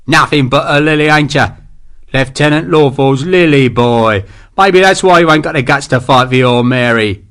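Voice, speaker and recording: A man's voice: medium pitch, animated, fairly quick, a bit bright. A man voicing a coarse, sneering army sergeant. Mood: scornful. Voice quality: coarse, sneering, rough slang. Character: brutal scheming male army sergeant, coarse.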